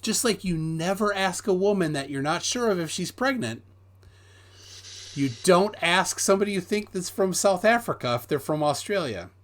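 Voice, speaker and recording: A man hosts a casual live chat and tells rambling stories packed with fillers and false starts. His voice sits a little high, and his pitch swings widely as he talks. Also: animated, moderate pace, fairly bright tone. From United States. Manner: rambling storyteller, hesitant with many fillers, reads viewer messages. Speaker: host of a live stream.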